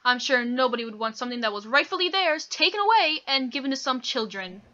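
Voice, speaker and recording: A woman's voice: high-pitched, lively intonation, quick pace, sharp tone. A young female student playing a confident defense attorney in a radio play, recorded on a lo-fi microphone. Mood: self-assured. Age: teenager or young adult student.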